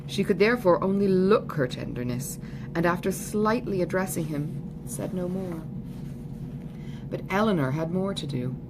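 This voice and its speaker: A woman's voice: lowish voice, lively intonation, normal speed, noisy background. A woman giving a scripted literary narration as an audiobook reader.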